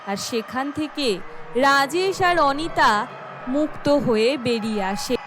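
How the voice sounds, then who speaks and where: A woman narrator reading a scripted horror cartoon story. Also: high-pitched.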